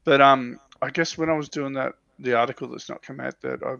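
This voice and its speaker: A man's voice: mid-range voice, steady tone, a little fast, creaky, fairly bright tone, no echo, dull audio. A man chatting casually as a panelist on a livestream, talking in a hesitant way that often doubles back on itself. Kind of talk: casual chat. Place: livestream call.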